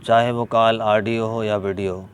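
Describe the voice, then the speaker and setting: A man with a Pakistani accent explaining a religious ruling as an Islamic scholar, deliberate and emphatic, repeating key points. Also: little pitch movement. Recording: clear background noise, ambient vehicle rumble.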